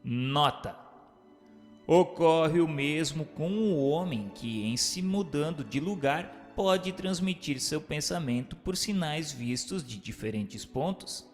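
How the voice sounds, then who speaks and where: A man's voice: on the high side, lively intonation, slow pace. A man reading scripted narration and posing formal questions as a narrator. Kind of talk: scripted narration of a spiritist text. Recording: long hall reverb, music underneath.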